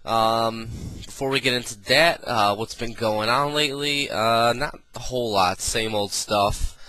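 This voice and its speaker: A man's voice: mid-range voice, measured pace, bright, forceful tone, poor audio. A man reviewing a gadget in a casual, rambling way, full of ums and uhs, sounding nasal from a cold. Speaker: product reviewer.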